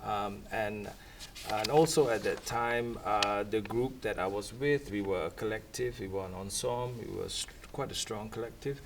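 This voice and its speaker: A man's voice: lowish voice, moderate pace, a little hiss or hum. A man, speaking in a thoughtful, rambling way on a panel. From Singapore. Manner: thoughtful, rambling, with soft laughs. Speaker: academic panelist. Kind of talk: reflective panel talk. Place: event room. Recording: voice from a distant mic.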